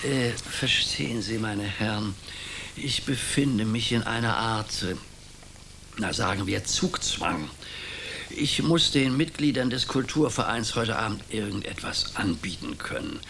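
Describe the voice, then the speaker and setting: An actor playing a worried small-town mayor in a radio play, hesitant and ponderous. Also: male voice, on the low side, expressive, a little slow, creaky, pushed and bright, slight background noise, full character voice. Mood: fretful.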